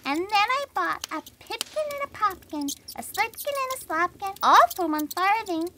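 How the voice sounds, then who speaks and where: Tiny twittering mice in a storybook radio play. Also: female voice, animated, normal speed, heavy background noise. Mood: singsong. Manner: high squeaky singsong chanting. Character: tiny twittering little mice, busy and cheerful.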